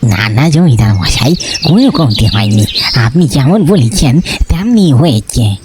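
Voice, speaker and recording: অভিনেতার কণ্ঠে বিনীত, শঙ্কিত গ্রামের লোক. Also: male voice, mid-range voice, big pitch swings, unhurried. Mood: reassuring. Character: deferential, uneasy village man serving the landlord. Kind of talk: dramatized cartoon dialogue. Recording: clear birdsong noise behind.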